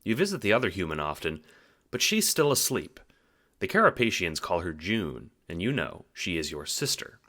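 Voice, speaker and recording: Animated, low-voiced male narrator. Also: normal speed. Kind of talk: scripted second-person audiobook narration.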